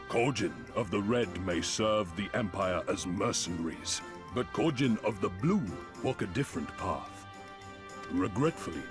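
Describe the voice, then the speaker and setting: Low-pitched, courteous turtle-folk merchant. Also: male voice. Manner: formal, archaic phrasing, deliberate. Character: courteous turtle-like Kojin merchant, earnest and enterprising. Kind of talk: video game cutscene acting. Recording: background music clearly audible.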